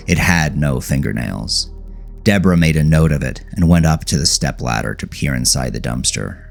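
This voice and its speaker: A man narrating a scripted horror story with a measured, deliberate delivery. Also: a bass voice, gravelly. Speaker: audiobook narrator.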